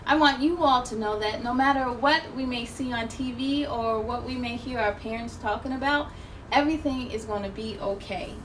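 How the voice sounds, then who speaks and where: A woman with a high voice hosts story time for children. She speaks expressively, as if straight to young listeners, with an encouraging manner. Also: slight background noise, low-quality recording. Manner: encouraging, addresses children directly. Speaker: children's storytime host and reader. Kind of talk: expressive read-aloud narration for young children.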